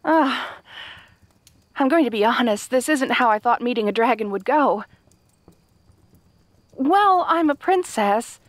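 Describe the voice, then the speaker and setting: A woman's voice: fairly high pitch, lively intonation, unhurried, long pauses, no room sound. A woman playing a kind, naive young princess in a fantasy audio roleplay, speaking politely and hesitantly to the listener. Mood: flustered, amused. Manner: hesitant, polite, rambling earnest explanations.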